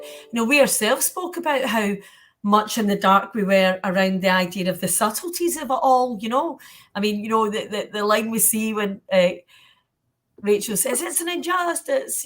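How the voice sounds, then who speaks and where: A woman hosting an informal panel discussion, talking in a chatty, rambling way. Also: fairly high pitch. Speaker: panel host.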